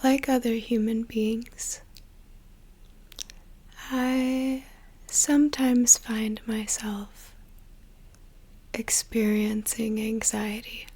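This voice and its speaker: A young woman whispering a slow, soothing guided meditation as an ASMR creator, with a soft breathy voice. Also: a little high, flat delivery.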